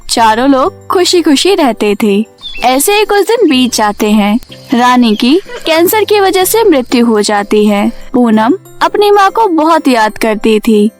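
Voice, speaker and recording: A woman's voice: high voice, very animated, unhurried, soft background music. A woman narrating a scripted cartoon moral story as a storyteller.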